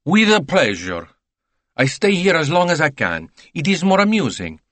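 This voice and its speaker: A man putting on a garrulous salesman, his voice pitched well above the reader's own and bouncing with excitable chatter. He speaks, full of broken phrases and scorn, in a dry, close recording. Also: normal speed, no echo. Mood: chatty. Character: chatty Italian male salesman, talkative, scornful.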